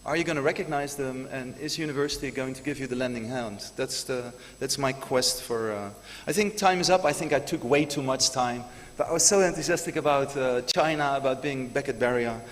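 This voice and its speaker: A man's voice: mid-range voice, brisk, hardly any pauses. Enthusiastic man speaking in a large hall. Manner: enthusiastic, hesitant with uh fillers. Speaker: conference speaker. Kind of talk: conference speech. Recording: hall reverb, faint room noise.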